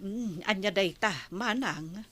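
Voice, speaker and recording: A woman's voice: medium pitch, slow, a bit bright. A woman playing a nosy, irritable village wife who nags and gossips, in a radio drama with an Ilocano accent. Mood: gossipy. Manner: nagging, exclamatory, gossipy. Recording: noticeable background noise.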